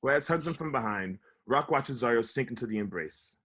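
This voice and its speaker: A man's voice: mid-range voice, expressive, moderate pace, a bit bright. A man giving scripted narration, reading out stage directions for a table read.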